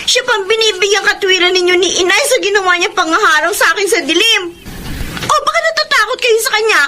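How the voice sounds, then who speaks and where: A woman's voice: extremely high pitch, expressive, a little fast, bright, forceful tone, slight background noise, full character voice. A woman playing a feisty, distressed young daughter in a Filipino radio drama, respectful to her parents but emphatic and defiant. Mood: upset, pleading.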